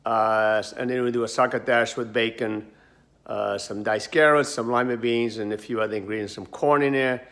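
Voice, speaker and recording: A man's voice: mid-range voice, steady tone, normal speed, fairly bright tone. Rambling male chef. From Portugal. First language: Portuguese. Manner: rambling, many fillers and restarts. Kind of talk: home cooking demonstration.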